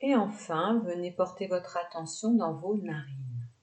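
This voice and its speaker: A woman leading a guided yoga nidra relaxation, giving unhurried, soft instructions with pauses between phrases. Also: lowish voice, lively intonation.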